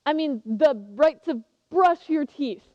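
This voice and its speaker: High-pitched, fervent teenage girl orator acted by a woman. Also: takes it slowly, mellow. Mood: earnest, excited. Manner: earnest, emphatic, dramatic. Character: eager, passionate teenage girl giving a contest speech. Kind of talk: theatrical monologue as prepared oration. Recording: muffled, reverberant hall recording.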